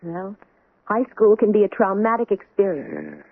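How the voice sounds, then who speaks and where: An earnest, idealistic doctor. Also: female voice, mid-range voice, wildly swooping pitch, measured pace, husky, dry recording, heavily acted. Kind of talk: 1970s radio drama acting. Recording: tinny dry vintage radio recording.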